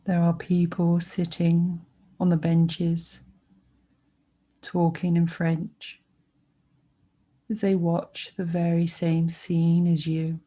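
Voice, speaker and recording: A woman guiding a sleep meditation with soothing narration, speaking gently and unhurriedly with pauses between short phrases. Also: on the low side, monotone. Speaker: meditation guide.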